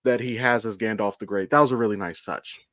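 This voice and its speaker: A man's voice: lowish voice, fairly even intonation, fast talker, a bit bright, no echo, clean studio sound. A man co-hosting a casual podcast, explaining his opinions as a fan with the odd swear word. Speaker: podcast co-host. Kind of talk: casual chat, opinionated pop-culture commentary.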